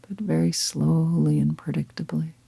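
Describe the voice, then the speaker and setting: Low, husky, half-whispering woman guiding meditation. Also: no room sound. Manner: calm, gentle, unhurried with long pauses. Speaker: meditation guide. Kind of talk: guided meditation, slow soft-spoken instruction.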